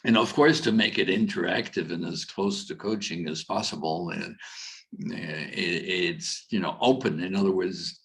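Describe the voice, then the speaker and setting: A middle-aged man with a very low, deep voice talks loosely over a video call. He wanders through his points with plenty of fillers and false starts. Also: moderate pace, fairly bright tone. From Algeria. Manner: rambling, many fillers and restarts. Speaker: coach and conference speaker. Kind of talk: casual conference talk.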